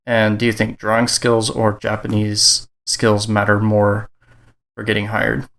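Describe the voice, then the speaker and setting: A man with a fairly low voice hosts a podcast, asking questions and adding brief remarks. His delivery is flat and even, with little rise or fall. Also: measured pace, creaky. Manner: brief, with frequent "mm" backchannels. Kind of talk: casual interview questions.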